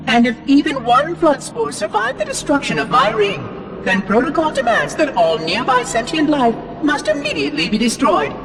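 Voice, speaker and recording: A man's voice: extremely high pitch, wildly swooping pitch, background noise, exaggerated voice. An actor playing a fussy, condescending male AI monitor robot in a video game. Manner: prim, condescending, quick.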